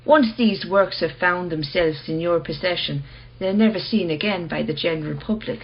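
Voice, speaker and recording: An actress playing an earnest, quietly challenging woman in a radio play, speaking in a measured, persistent, questioning manner. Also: lowish voice, husky, muffled recording.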